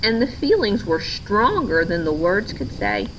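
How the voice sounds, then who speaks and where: A woman reading a children's storybook aloud in a measured, expressive way. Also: medium pitch, a little hiss or hum, rough recording. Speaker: storybook reader. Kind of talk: scripted children's story narration, read aloud.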